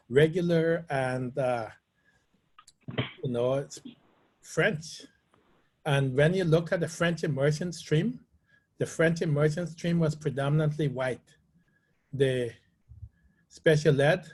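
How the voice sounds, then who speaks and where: Measured man with a slightly higher voice. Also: a little mellow, husky. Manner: measured, thoughtful with fillers. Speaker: panelist, school principal. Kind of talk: panel discussion.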